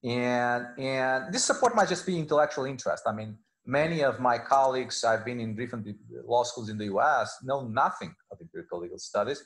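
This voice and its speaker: A middle-aged man from Portugal, a native Portuguese speaker with a Portuguese accent, giving halting, conversational interview answers as a professor on a video call. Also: mid-range voice, animated, fairly bright tone. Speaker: law professor, interview guest. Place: indoor room, video call. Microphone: wired earphones on a video call.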